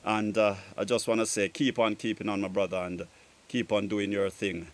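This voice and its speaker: A man presenting a music show outdoors in a garden, casually giving friendly shout-outs in drawn-out phrases. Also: lowish voice, level tone. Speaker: DJ and show host.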